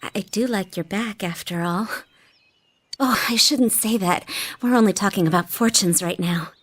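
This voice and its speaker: An actress playing a shy, polite young woman in anime game dialogue, soft-spoken and demure. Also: mid-range voice, moderate pace, lightly acted. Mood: happy, tender. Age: young adult.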